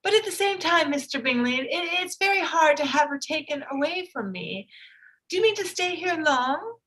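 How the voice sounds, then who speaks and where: A woman's voice: sits high, lively intonation, moderate pace, a bit bright, low-quality recording. A woman playing a fussy, gushing middle-aged mother in a theatrical period drama reading, effusive and chattering.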